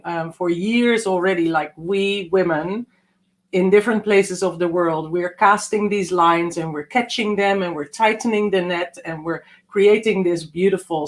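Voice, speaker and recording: A woman talks as a guest on a live video call. Her voice sits in a middle register, and her delivery is warm and reflective, easing into slower, softer stretches as she thinks aloud. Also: mid-range voice. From Netherlands. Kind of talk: casual online interview.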